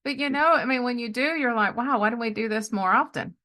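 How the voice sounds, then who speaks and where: A woman's voice: fairly high pitch, fairly quick, pushed and bright. Chatty middle-aged woman, easy laugh. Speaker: podcast host. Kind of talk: casual chat.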